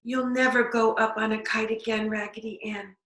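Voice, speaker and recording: A woman's voice: on the high side, little pitch movement, moderate pace, a bit bright, roomy sound, close to an everyday voice. A woman voicing an affectionate little girl in a children's storybook. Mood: tender relief. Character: Marcella, affectionate little girl who loves her doll.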